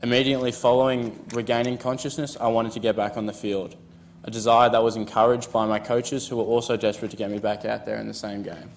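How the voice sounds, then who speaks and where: A man's voice: medium pitch, little pitch movement, quick pace, a little hiss or hum. A young Australian man in his late teens giving an earnest prepared talk at a conference podium. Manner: earnest, reads from notes. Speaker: student speaker.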